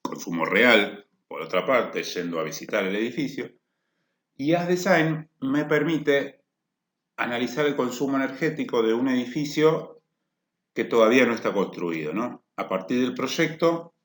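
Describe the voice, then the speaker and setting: A man's voice: mid-range voice, expressive, normal speed, a bit bright. A man giving a technical workshop lecture, explaining step by step and often rephrasing his points to check the audience follows. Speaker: energy efficiency lecturer. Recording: echoey room.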